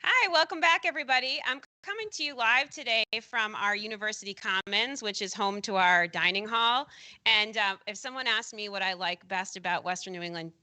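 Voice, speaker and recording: Bright, upbeat woman hosting live. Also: medium pitch, brisk, dry recording. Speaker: university staff host. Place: university commons with dining hall.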